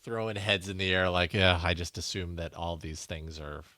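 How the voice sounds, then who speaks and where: A man's voice: low-pitched, steady tone, normal speed, pushed and bright, no echo, studio quality. A man co-hosting a podcast in casual chat, easygoing and agreeable, with many "you know"s and "like"s. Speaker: podcast co-host.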